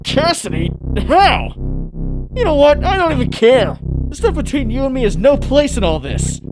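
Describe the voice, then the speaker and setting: Guy playing a young quippy superhero, swoopy pitch, flippant, music loud behind him, comic dub. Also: very animated, normal speed, low-quality recording. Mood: defiant. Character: wisecracking young male superhero, flippant but heroic. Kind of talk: comic book dub acting.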